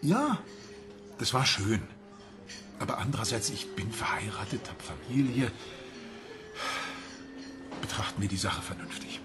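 A man's voice: on the low side, big pitch swings, unhurried, long pauses, music in the background. An actor playing an awkward, evasive married man in a radio drama, speaking hesitantly.

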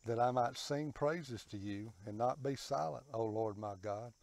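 An older man reading aloud for a devotional in a measured, declarative way. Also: mid-range voice, creaky, no echo. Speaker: devotional host and scripture reader. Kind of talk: scripture reading. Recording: very noisy recording with loud ambience.

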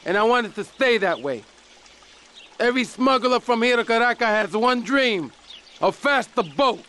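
High-pitched, ranting, paranoid drug lord. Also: male voice, lively intonation, moderate pace, bright, forceful tone, heavily acted. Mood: scheming, commanding. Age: middle-aged. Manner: explosive, theatrical ranting. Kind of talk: video game cutscene acting.

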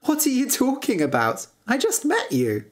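A woman's voice: fairly high pitch, wildly swooping pitch, moderate pace, pitched above the performer's own voice. A woman voicing a bold, no-nonsense young female adventurer in a storybook tale, speaking bluntly and directly. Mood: taken aback, frank.

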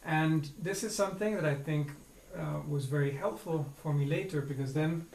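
A middle-aged man from Venezuela, explaining in a measured way with small restarts. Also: a little high, darkish tone. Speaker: interviewed parent. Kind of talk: casual explanation. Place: room with a wall map, indoors. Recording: echoey room, faint noise.

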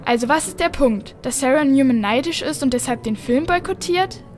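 A high, girlish voice plays an inquisitive, earnest girl in a children's radio play. She sounds determined and questioning, with faint music around the scenes. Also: expressive, brisk. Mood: earnest, puzzled. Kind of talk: children's audio drama acting.

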